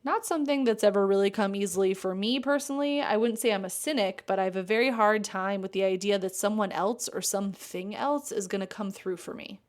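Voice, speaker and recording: A woman's voice: medium pitch, a little fast, few pauses. Frank, casual woman host. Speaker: podcast host. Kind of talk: casual conversational interview.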